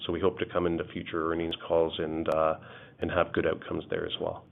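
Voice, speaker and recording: A man's voice: low voice, completely flat delivery, brisk, creaky. A male company executive explaining financial results in answer to questions on an investor call. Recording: narrow tinny phone line.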